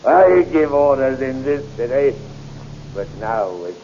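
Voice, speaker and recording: An actor playing a tyrannical king who speaks slowly and gloats with menacing laughs, on a muffled old radio recording. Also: fairly high pitch, a little hiss or hum. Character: tyrannical male bird-man king, gloating. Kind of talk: 1930s radio drama acting.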